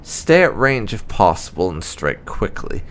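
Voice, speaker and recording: A man reading game lore entries aloud as scripted narration, in a formal, measured, deliberate way. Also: on the low side, big pitch swings, fairly bright tone, a little hiss or hum. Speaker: gaming channel host.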